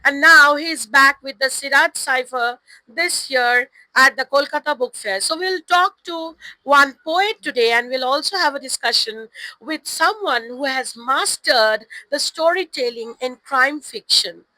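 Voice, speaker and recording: A woman with a hoarse voice, hosting and introducing guests at a book fair stall with effusive, formal introductions. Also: sits high, unhurried, pushed and bright, dry recording, low-quality recording. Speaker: publisher's host. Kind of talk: event introduction.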